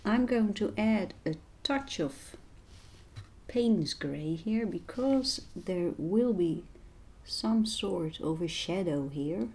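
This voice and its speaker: A woman with a slightly hoarse voice, talking gently and unhurriedly as she narrates a painting tutorial, sometimes dropping to a near whisper. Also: medium pitch, lively intonation. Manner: soft-spoken, unhurried, drifting into quiet near-whispers. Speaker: art tutorial host and voice teacher.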